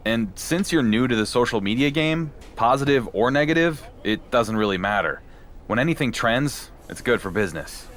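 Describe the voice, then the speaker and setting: An actor playing a self-assured, matter-of-fact champion wrestler in a conversational video game cutscene. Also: male voice, mid-range voice, lively intonation, moderate pace, fairly bright tone, a little hiss or hum, close to an everyday voice. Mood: unbothered, confident. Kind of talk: conversational scripted cutscene acting.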